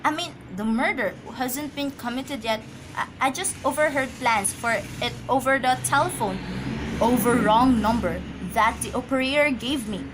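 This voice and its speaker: A woman's voice: a little high, moderate pace, noisy background, lightly acted. A woman acting an anxious, imperious, easily flustered wife in an amateur radio drama, agitated and stammering.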